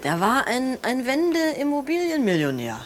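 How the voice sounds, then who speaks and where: An actress playing a bereaved sister who gropes for words in a creaky voice, in a naturalistic radio drama. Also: female voice, sits high, huge pitch swings, slight background noise. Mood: explanatory. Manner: halting, searching for words. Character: shaken woman, bereaved sister of a victim.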